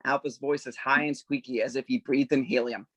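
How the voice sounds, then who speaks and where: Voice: mid-range voice, steady tone, fairly quick, no echo. A narrator reading stage directions informally at a casual table read, a little amused.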